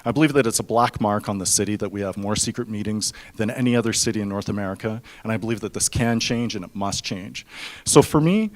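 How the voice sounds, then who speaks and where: A man's voice: fairly low pitch, fast. Earnest young man giving a campaign speech. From Canada. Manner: earnest, personal storytelling with occasional restarts. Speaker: mayoral candidate.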